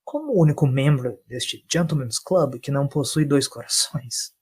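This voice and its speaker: A man voicing a pompous, dry gentleman doctor in an audio story, courteous with a sombre edge. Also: mid-range voice, moderate pace, slightly rough, natural voice. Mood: polite, sombre. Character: pompous, dry British gentleman doctor, sympathetic yet sober.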